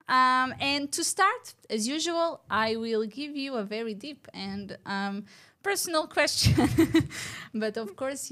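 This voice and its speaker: Higher-voiced woman hosting a podcast. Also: fairly bright tone. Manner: friendly, laughs often, poses questions. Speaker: podcast host.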